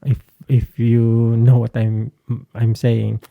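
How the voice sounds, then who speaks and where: Guy chatting casually about guitar stuff, slow n hesitant, creaky voice, dry sound. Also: medium pitch, completely flat delivery, no room sound, narrow, tinny audio. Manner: hesitant, searching for words. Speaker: guitarist vlogger. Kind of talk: casual explanatory talk to camera.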